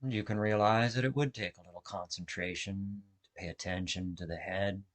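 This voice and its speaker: A man with a fairly low voice guides a relaxation session. He gives soft-mannered, measured instructions in short phrases. Long pauses separate each cue, as a meditation instructor would leave for listeners to follow. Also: steady tone. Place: online video call session.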